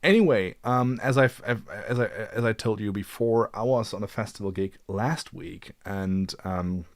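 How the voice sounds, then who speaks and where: A man in his late twenties with a fairly low voice, recorded close and dry. He hosts a casual livestream, rambling a little and often doubling back on his words as he gathers his thoughts. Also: measured pace, dry recording. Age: late 20s. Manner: hesitant, rambling delivery with repeated words. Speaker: livestream host, guitarist.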